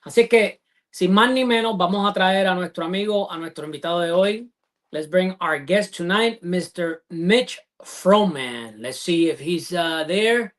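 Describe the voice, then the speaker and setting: Effusive male host, halting speech. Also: sits high. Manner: warmly effusive, halting with many fillers. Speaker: show host. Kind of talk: casual interview hosting.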